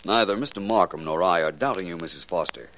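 An actor playing an urbane, self-assured detective with polished, wry, precise speech, in 1940s radio mystery style. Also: male voice, low-pitched, fast talker, fairly bright tone, soft background music. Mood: thoughtful. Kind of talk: 1940s radio crime drama acting. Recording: noisy vintage radio recording.

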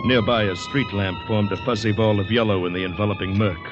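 A man plays a frightened, shell-shocked fugitive telling his tale in old-time radio drama. His voice is low, urgent and haunted. The recording is dull and aged, with music behind him. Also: fairly even intonation, a little fast, close to an everyday voice. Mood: panicked, breathless. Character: terrified, shell-shocked man fleeing a murder scene. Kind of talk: 1940s-50s radio drama acting, first-person narration.

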